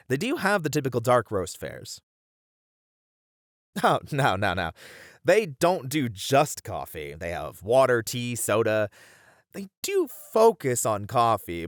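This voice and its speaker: A man's voice: fairly low pitch, dry recording, studio quality. A man voicing a friendly, bashful bunny man who chats helpfully to the listener in an ASMR roleplay. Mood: friendly, welcoming, then chatty, helpful. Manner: chatty, helpful, easily flustered, breaking into chuckles and hesitations. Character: friendly, bashful bunny man, bookish manga cafe regular. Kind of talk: ASMR roleplay, one-sided conversation with the listener.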